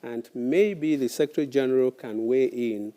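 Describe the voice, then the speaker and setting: A man's voice: mid-range voice, measured pace, mellow. A man co-hosting a panel talk show, asking questions hesitantly with many fillers. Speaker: co-host.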